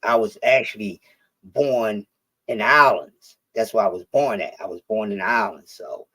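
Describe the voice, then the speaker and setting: A man's voice: on the low side, moderate pace, a bit bright, breathy edge, poor audio. Rambling, cussing Philly man. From Philadelphia. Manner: profane, rambling, with many restarts. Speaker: stream guest. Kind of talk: casual chat.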